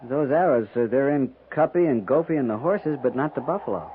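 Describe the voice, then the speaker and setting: A man's voice: mid-range voice, animated, brisk, natural voice. A man with an American accent playing an earnest, troubled junior cavalry lieutenant in a 1950s radio western, questioning his superior deferentially. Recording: muffled, noisy 1950s radio recording.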